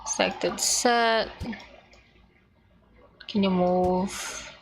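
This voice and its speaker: A woman's voice: mid-range voice, steady tone, drawn-out words. A woman livestreaming a video game, chatting casually and muttering to herself in short fragments as she thinks aloud. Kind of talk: casual gaming stream chat, thinking aloud.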